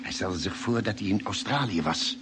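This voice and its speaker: A man's voice: fairly low pitch, normal speed, natural voice. A man acting an earnest, inquiring ship's doctor in a vintage Dutch radio play, on a noisy old recording. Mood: earnest, attentive.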